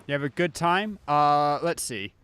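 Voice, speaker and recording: A man's voice: fairly high pitch, a little slow, a bit bright, clean tone, dry recording. A man livestreaming a video game with casual, wry, grumbling commentary. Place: inside a cold house. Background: game music.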